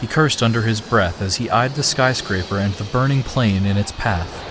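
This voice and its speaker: Brief: male narrator for a superhero audio story, low-pitched, steady and dramatic. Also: moderate pace, noisy background. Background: music.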